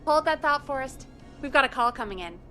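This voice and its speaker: An actress playing a brisk, friendly radio show producer in a video game, talking conversationally. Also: female voice, high voice, animated, a bit bright, faint music behind. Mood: casual, playful.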